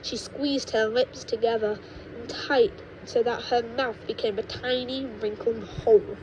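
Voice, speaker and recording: Female storybook narrator, high-pitched and measured, slightly stumbling read, noisy homemade audio. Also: fairly even intonation, lo-fi sound. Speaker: audiobook reader. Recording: noisy low-quality home microphone recording.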